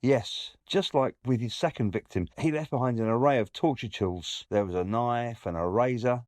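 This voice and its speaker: A man's voice: mid-range voice, normal speed, darkish tone, no echo, clean studio sound, natural voice. An actor playing a matter-of-fact male police inspector, grimly reporting on cases in an audio play. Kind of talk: audio drama acting.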